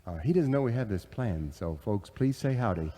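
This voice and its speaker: A man's voice: lowish voice, expressive, normal speed, warm tone. A man playing a genial American cowboy leader who hosts an old-time radio comedy. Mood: confiding. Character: genial singing cowboy leader and master of ceremonies. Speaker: host. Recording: reverberant hall, music behind.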